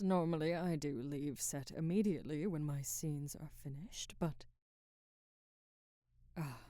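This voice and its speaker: Woman acting a haughty, low-voiced middle-aged film star. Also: dry recording, studio quality. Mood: weary, irritated. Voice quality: soft, breathy, often near whisper. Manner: formal, measured, aloof phrasing. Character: haughty, guarded middle-aged Hollywood actress, softening. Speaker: ASMR voice actor. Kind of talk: ASMR roleplay, scripted dramatic monologue.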